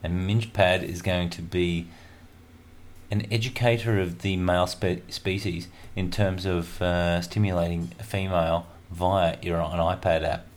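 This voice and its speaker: A man's voice: deep voice, little pitch movement, unhurried. A man hosting a radio show, chatting and joking casually between tracks with lots of fillers. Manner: chatty, jokey and rambling, with many fillers.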